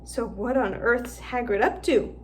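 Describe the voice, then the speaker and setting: Clever schoolgirl witch, voiced by a woman, warm and coaxing, highish. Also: measured pace, slight background noise, close to an everyday voice. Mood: thoughtful. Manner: warm, flattering.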